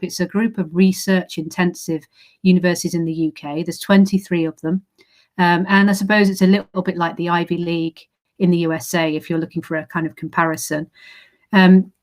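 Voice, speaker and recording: A woman with an English accent from England, giving an informal presentation to prospective students as a university recruitment manager, explaining in a friendly way with frequent "um" fillers, on a video call. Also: lowish voice.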